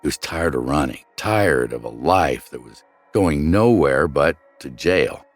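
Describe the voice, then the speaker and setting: Deep low voiced guy reading true crime podcast narration, measured n dramatic, bit of music behind. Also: expressive. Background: background music.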